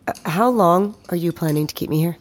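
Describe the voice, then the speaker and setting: A woman's voice: fairly low pitch, brisk, close to an everyday voice. An actress playing a groggy, skeptical woman held by a cult in an audio drama, hesitant but blunt. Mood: guarded. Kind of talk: immersive audio drama acting.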